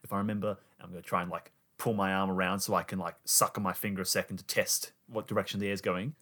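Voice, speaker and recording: A man's voice: low-pitched, level tone, fast talker, a bit bright. A man improvising a rambling, oblivious hiker who over-explains every procedure in an audio roleplay. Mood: earnest, planning.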